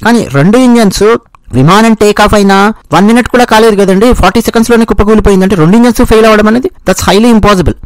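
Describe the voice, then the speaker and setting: Man, Telugu accent, high-pitched, dry sound, explanatory news commentary, rephrases points. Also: fast, clear, resonant tone, no room sound, rough recording.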